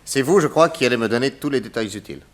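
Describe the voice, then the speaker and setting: An actor playing a self-assured, polite and inquisitive private detective in a 1960s French radio drama. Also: male voice, medium pitch, lively intonation, fast, close to an everyday voice.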